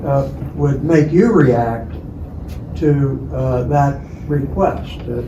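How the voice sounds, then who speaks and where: Slow, hesitant man asking from afar. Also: medium pitch. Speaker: audience member. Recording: dull, echoey distant mic with noise.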